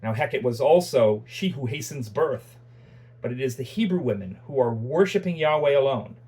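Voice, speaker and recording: Husky-voiced man giving a Bible lecture. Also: mid-range voice, darkish tone. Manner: conversational, self-deprecating asides, frequent restarts and fillers. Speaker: Bible teacher.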